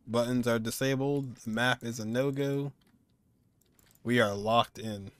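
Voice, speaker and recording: A man's voice: medium pitch, fairly even intonation, unhurried, no room sound. A man in his twenties giving casual, slangy, exclamatory live commentary on a game as a streamer. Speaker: gaming streamer. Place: indoor room, on webcam. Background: game music.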